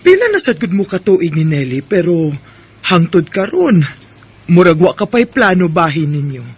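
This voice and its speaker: A man acting a concerned parent gently advising a grown son in a Filipino radio drama, with a husky voice. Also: sits high, sing-song, normal speed, heavily acted. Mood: gently admonishing.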